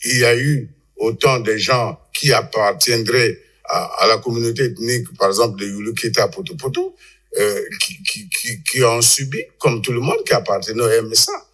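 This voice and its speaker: A man giving unscripted political analysis as a panel guest, haltingly, repeating words as he argues. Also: mid-range voice, animated, a bit bright.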